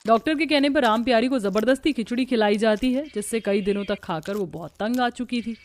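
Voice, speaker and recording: A woman reading scripted narration for an animated family story as its storyteller. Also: a little high, fairly quick.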